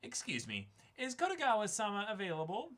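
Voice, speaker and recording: A man's voice: high voice, huge pitch swings, brisk, fairly bright tone, pitched above the performer's own voice, heavily acted. A man voicing a formal, polite tour company agent in a manga reading. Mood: polite, businesslike.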